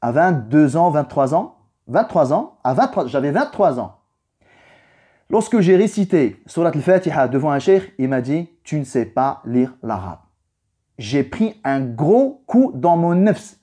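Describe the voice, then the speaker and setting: A man's voice: on the high side, sing-song, unhurried, warm tone, slightly rough. A young man, a native Arabic speaker, giving an informal motivational religious reflection in an emphatic way, stressing points with repetition. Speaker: vlogger giving a personal reflection.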